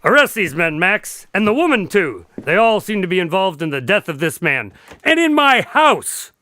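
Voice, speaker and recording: An actor playing a polite, long-suffering doctor in a radio drama, often incredulous and hesitant. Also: male voice, high-pitched, very animated, moderate pace, pushed and bright, heavily acted. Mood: exasperated. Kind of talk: scripted radio drama acting.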